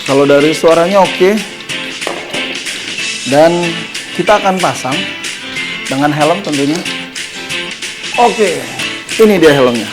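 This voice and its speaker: A man's voice: fairly high pitch, slow, pauses often. A man hosting a casual hands-on motorcycle gear review, informally thinking aloud as he demonstrates. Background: music.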